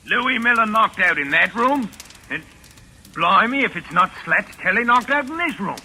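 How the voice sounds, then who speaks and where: A man's voice: high voice, expressive, moderate pace, sharp tone, full character voice. An actor playing a blustering but obliging male police sergeant in a 1940s radio mystery. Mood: astonished. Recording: noisy vintage radio recording.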